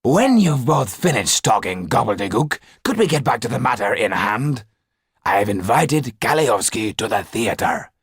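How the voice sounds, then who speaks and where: A man's voice: medium pitch, sing-song, normal speed, husky, no echo, studio quality, voice pushed up. Man as a fretful, theatrical, self-pitying theatre owner. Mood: baffled, irritable. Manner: fretful, exclamatory, mournful.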